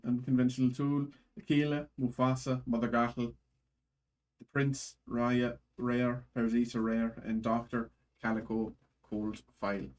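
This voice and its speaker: A man's voice: mid-range voice, darkish tone, poor audio. A man hosting a live card break in a put-on Irish accent, rattling off card names from each pack.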